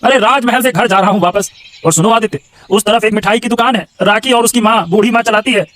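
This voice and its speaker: A man voicing a resentful, complaining palace gentleman in an animated Hindi moral story, grumbling in an agitated way. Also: high voice, quick pace. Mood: aggrieved.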